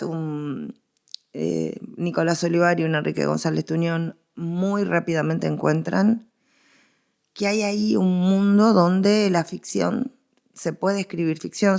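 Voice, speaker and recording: A woman's voice: lowish voice, creaky, a little mellow. A woman with an Argentine accent explaining things as an interviewed expert, emphatic and given to repetition. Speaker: interviewed history and literature expert.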